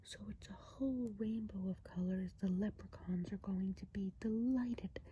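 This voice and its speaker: A woman's voice: medium pitch, normal speed, dull audio. Whispering ASMR woman over noticeable background noise. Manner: hushed, meandering, gently explanatory. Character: friendly Christmas witch of the woods. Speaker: ASMR performer. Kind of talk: soft whispered ASMR role play.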